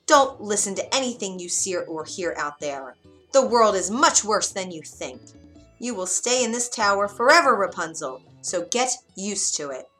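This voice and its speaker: A woman voicing a mean, bullying witch from a fairy tale, loud, scolding and domineering. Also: mid-range voice, big pitch swings, slow pace, soft background music, heavily acted.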